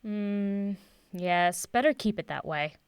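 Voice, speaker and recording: A woman's voice: medium pitch, clean tone, no echo, lightly acted. Cryptic woman professor, acted, dry close sound. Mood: guarded, knowing. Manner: measured, guarded, teacherly, fond of sayings. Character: cryptic, self-assured woman professor, patient mentor. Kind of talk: audio drama dialogue.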